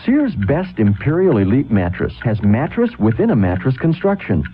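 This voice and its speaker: Male commercial announcer, mid pitch, lively intonation, 1970s radio. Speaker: advertising announcer. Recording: thin, narrowband vintage radio.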